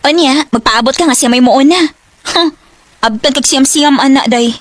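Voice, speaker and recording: A woman's voice: sits high, moderate pace, no room sound, natural voice. A woman playing a sassy, teasing young female friend in a radio drama.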